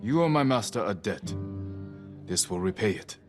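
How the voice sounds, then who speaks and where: An actor playing a stern young samurai lord, terse, formal and restrained, in cinematic game dialogue. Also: male voice, lowish voice, lively intonation, normal speed, natural voice. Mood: grave, firm. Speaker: video game protagonist. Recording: music under the dialogue.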